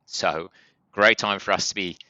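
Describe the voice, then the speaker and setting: A man with a strong Australian accent, a guest on a business podcast, explaining in a brisk way with many fillers. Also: low voice, fairly even intonation, pushed and bright, no room sound, highs cut off. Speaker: guest from a funding company. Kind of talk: podcast interview.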